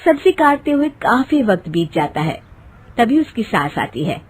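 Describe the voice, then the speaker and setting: Casting: female narrator for animated domestic tales, lively intonation, slightly rough-edged voice, scripted storytelling delivery. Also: fairly high pitch, moderate pace. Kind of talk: scripted present-tense storytelling for a cartoon.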